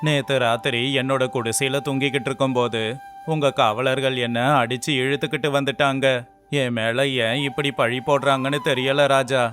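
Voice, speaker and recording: A man's voice: medium pitch, steady tone, moderate pace, fairly bright tone, lightly acted. Man acting a pleading, humble sculptor. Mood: distressed, pleading. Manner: pleading, deferential. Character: poor, honest male sculptor, humble and pleading. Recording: music behind the voice.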